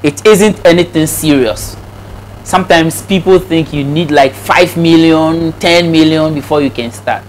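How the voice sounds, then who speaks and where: A man from Ghana being interviewed about his farming, talking in a soft, easygoing way. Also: on the high side, measured pace, a little hiss or hum, rough recording. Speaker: interview guest, snail farmer. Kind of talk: casual interview.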